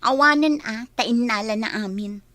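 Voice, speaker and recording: Woman acting filipino radio drama girlfriend, hurt and nagging, high bright voice. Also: normal speed, no echo, lightly acted. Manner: emotional, scolding. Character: Bianca, hurt, nagging, thrifty girlfriend confronting her boyfriend.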